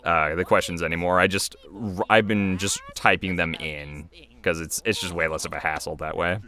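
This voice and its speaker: A man's voice: deep voice, fairly even intonation, normal speed, pushed and bright. A man giving casual, off-the-cuff commentary as a video creator, chatty and rambling.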